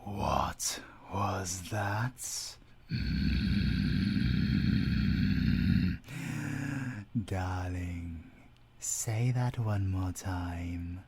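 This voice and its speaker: A man's voice: low voice, drawn-out words, background noise, heavily acted. A British man playing a gloating, theatrical werewolf alpha villain besotted with his mate, in an audio roleplay, swinging between murmurs and outbursts. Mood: dark purring.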